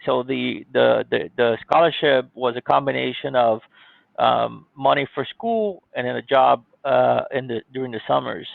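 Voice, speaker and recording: Phone-like narrow audio, man, very gravelly fry, conversational, polite, explanatory interview guest. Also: mid-range voice, moderate pace, no echo. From born in Cuba, grew up in Miami, United States. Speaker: podcast guest, IT company CEO. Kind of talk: conversational interview, telling own story. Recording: thin, phone-like call audio.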